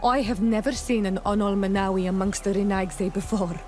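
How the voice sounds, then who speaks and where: A woman's voice: mid-range voice, fairly even intonation, brisk, darkish tone, natural voice. An actress playing a proud, fierce young native islander woman in a video game, speaking earnestly and solemnly. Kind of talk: video game dialogue acting. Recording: game dialogue under prominent music.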